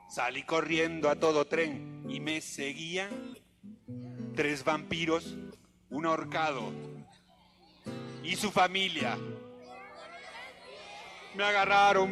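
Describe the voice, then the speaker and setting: High-voiced theatrical man entertaining kids over guitar. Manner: theatrical, exclamatory, coaxing. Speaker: children's entertainer, singer-storyteller. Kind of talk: interactive musical storytelling for children. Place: live outdoor show for children. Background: acoustic guitar.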